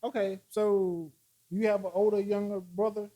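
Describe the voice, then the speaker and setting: A man in his forties chatting animatedly with friends, arguing his point through examples and quick restarts. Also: sits high, little pitch movement, warm tone. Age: 40s. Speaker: podcast host. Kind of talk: casual chat.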